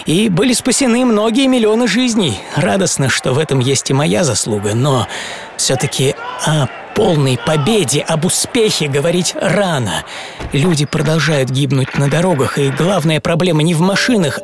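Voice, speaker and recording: Fiery young crusading lawyer, higher-pitched, over music. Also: male voice. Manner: theatrical, intense, with deliberate pauses. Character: young idealistic American lawyer, a fiery crusader recounting his fight. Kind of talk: dramatic first-person radio monologue in Russian. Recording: studio voice over prominent music.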